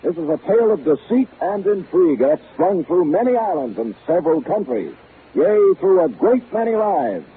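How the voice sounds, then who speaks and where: An actor playing a world-weary, wisecracking hardboiled detective with sardonic delivery in 1950s radio noir style. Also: male voice, sits high, sing-song, moderate pace, slight background noise. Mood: grimly theatrical. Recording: tinny 1950s radio broadcast with music.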